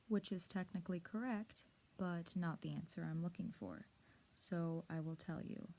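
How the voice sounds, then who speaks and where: Female lesson presenter, soft mellow tone, slow measured delivery, clear instructional read. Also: on the low side. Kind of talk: scripted instructional narration.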